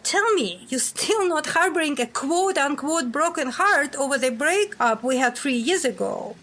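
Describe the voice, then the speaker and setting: High-voiced brash secret agent played by a woman. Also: animated, a little slow, fairly bright tone. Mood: fuming, blunt. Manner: sardonic, blunt. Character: brash, sharp-tongued female secret agent on the run. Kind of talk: audio drama dialogue.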